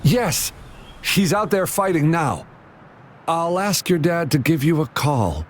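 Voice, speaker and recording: A kindly young soldier from a video game, speaking slowly in a fairly high voice. He is gentle, and there is clear ambient noise behind him. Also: expressive. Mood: reassuring.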